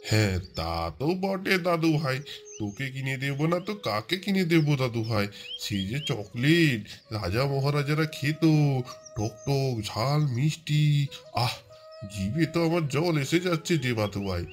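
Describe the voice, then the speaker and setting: A man's voice: mid-range voice, animated, faint music behind, close to an everyday voice. Man playing a wheedling old cartoon grandpa. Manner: wheedling, drawn-out, teasing. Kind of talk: cartoon voice acting.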